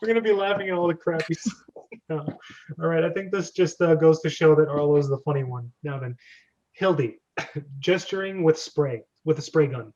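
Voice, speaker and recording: A man's voice: on the high side, moderate pace, darkish tone. A man hosting a script reading, chatting casually and jokily as he explains and directs. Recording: dull, lo-fi sound.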